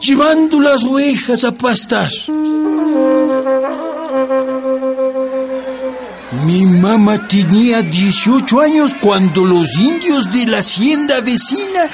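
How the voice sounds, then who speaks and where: Slow Andean peasant narrator, high-voiced. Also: male voice, very animated, exaggerated voice. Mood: reflective. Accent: Ecuadorian Andean. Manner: solemn storytelling. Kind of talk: scripted radio drama narration. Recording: music bed under the voice.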